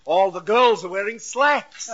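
An actor playing an excitable, roguish blowhard sidekick in a pompous, flowery 1940s radio comedy manner. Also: male voice, high voice, measured pace, very noisy. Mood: jovial, roguish. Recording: dull vintage radio recording.

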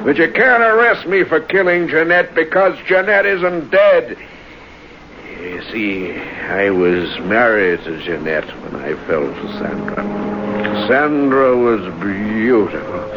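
An actor playing a despairing, educated drunken vagrant, weary and fatalistic, in old radio drama style. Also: male voice, mid-range voice, animated, takes it slowly. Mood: haunted, bitter. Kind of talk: 1940s-50s radio drama acting. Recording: noisy, muffled old radio recording.

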